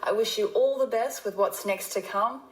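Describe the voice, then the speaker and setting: A woman's voice: fairly high pitch, moderate pace, slight background noise. Australian woman in a roomy recording. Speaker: singer-songwriter. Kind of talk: prepared tribute message.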